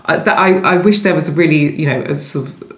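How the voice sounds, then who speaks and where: Low-voiced woman in an echoey room. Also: fairly quick. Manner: discursive, self-interrupting, hedging with "sort of". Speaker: novelist being interviewed. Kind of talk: conversational interview.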